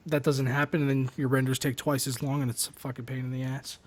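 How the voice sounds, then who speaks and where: A man hosting a casual tech chat, talking fast and excitably, swearing and repeating himself. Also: medium pitch. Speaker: host.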